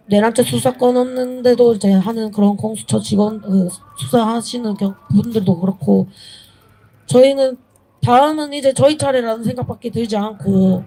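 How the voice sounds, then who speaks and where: A young woman giving an earnest, pleading protest speech, halting and correcting herself as she goes. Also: a little high, mellow, poor audio. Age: young adult. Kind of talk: impromptu political rally speech. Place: outdoors at a street protest.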